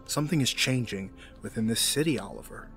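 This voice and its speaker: An actor playing a middle-aged businessman villain in an audio drama, formal, measured and condescending. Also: mid-range voice, animated, fairly bright tone, faint music behind. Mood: veiled menace.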